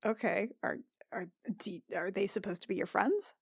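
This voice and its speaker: A woman playing a tabletop roleplaying game, improvising dialogue in a casual, halting, informal way. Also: mid-range voice, gravelly, breathy edge, dry recording, studio quality.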